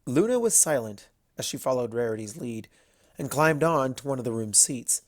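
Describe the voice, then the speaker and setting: A male narrator reads a piece of fiction from a script. His voice sits at a medium pitch, and the recording is dry with very little room sound. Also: lively intonation, normal speed, no echo.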